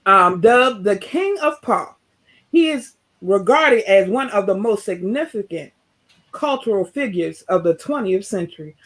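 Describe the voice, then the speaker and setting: A woman co-host reading a scripted tribute in a formal, measured way with small stumbles. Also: medium pitch, animated. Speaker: show co-host.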